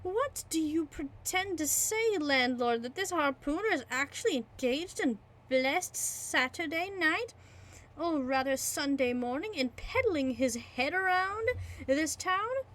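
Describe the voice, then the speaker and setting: A woman's voice: sits high, expressive, a little slow, fairly bright tone, voice pushed up, low-quality recording. A woman voicing an indignant young male sailor in a put-on British accent. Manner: indignant, theatrical.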